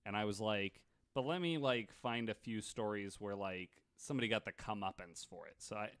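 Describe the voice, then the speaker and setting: A man's voice: on the low side, brisk, fairly bright tone, smooth, dry recording, clean studio sound. A man co-hosting a podcast, chatting casually with joking, sweary commentary. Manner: joking, profane. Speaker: podcast co-host. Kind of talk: casual chat.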